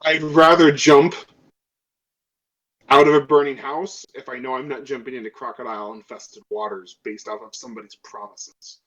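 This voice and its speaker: A man's voice: medium pitch, fairly even intonation, moderate pace, a bit bright, close to an everyday voice. Man as a skeptical crewman, muffled lo-fi sound. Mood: argumentative. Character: skeptical, self-interested crew member demanding proof.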